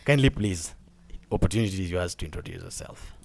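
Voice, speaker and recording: Kenyan man, young adult, podcast host, fairly low voice, halting speech with many fillers. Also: expressive, gravelly, darkish tone, slight background noise. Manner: halting with many fillers and repeated words, laughs often. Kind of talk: casual conversational podcast.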